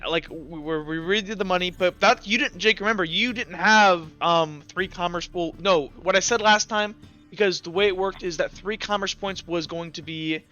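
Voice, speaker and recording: Male game master, high bright voice, casual and rambling, often correcting himself mid-explanation. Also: normal speed, highs cut off, faint music behind. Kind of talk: casual tabletop game narration and table talk.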